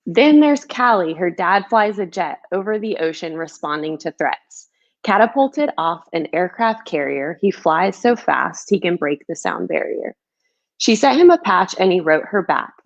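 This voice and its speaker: A woman children's author with a fairly low voice. There is noticeable creak in it, and the recording sounds a little dull and lo-fi. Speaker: children's book author reading own book. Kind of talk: storybook read-aloud, rhyming, then casual chat.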